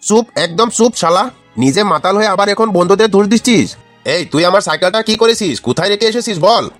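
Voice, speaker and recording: A man's voice: sits high, quick pace, a bit bright, soft background music. A man voicing an irate middle-aged father in a comedy cartoon, scolding and blustering as he talks. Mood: angry scolding. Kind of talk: amateur comedy cartoon dialogue.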